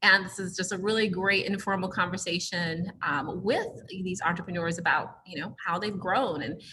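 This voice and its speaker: A woman hosting a library entrepreneur talk, enthusiastic and quick to laugh. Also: lowish voice, background noise. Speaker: program host. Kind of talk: hosting an informal conversation.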